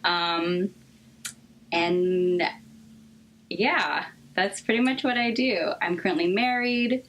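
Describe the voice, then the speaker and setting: A young woman from Seattle, a therapist answering interview questions earnestly, with frequent ums and pauses. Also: lowish voice, fairly bright tone. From Seattle, Washington. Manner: hesitant, many ums, earnest. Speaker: guest therapist.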